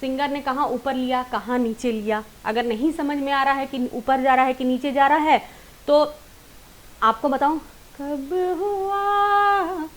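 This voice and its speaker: High-voiced Indian woman teaching singing. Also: fairly even intonation, normal speed. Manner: instructive, addresses viewers directly, mixes in English terms. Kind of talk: online singing lesson, informal explanation.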